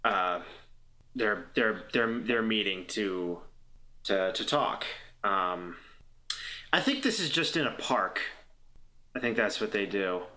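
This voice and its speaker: A man playing on an actual play podcast, improvising scenes haltingly and repeating words as he thinks. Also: on the low side, expressive, pushed and bright, rough recording. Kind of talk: improvised tabletop roleplay narration.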